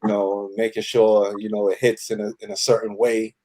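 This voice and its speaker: A man's voice: on the low side, flat delivery, moderate pace, mellow, poor audio. A man talking loosely and slangily as a guest in a casual group interview, often dropping in "you know". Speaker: audio producer and cast member being interviewed.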